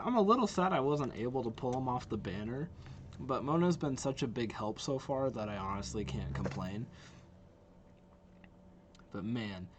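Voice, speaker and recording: A man's voice: mid-range voice, a little mellow, a little hiss or hum. A young man giving casual game reaction commentary, informal and exclamatory as he muses aloud. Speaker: video game reaction host.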